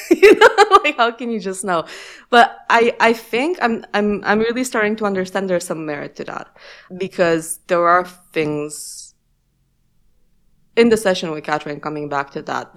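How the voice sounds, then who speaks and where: A woman whose first language is Romanian, telling stories casually with frequent laughs and restarts. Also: low voice. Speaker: guest. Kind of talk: casual reflective conversation.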